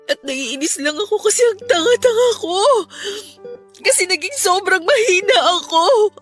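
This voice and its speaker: A humiliated young daughter in a radio drama. Her voice is high and pleading, heavy with guilt and tears. Soft music runs behind her. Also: animated, normal speed. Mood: bitter regret. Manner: tearful, pleading. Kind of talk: Filipino radio drama acting.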